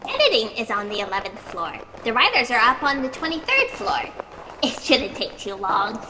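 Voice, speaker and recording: A woman playing an anxious, excitable and apologetic fact-checker underling in a sci-fi satire audio drama. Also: on the high side, moderate pace, long reverb tail, background noise. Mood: impatient. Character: flustered, fast-talking, anxious young female fact-checker underling.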